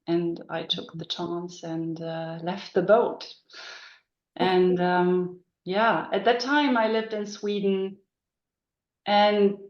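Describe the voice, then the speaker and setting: A woman's voice: on the low side, darkish tone. A middle-aged woman from northern Germany with a German accent, a guest in a casual interview, talking thoughtfully with many ums and restarts. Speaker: guest, business coach. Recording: echoey dull-sounding room.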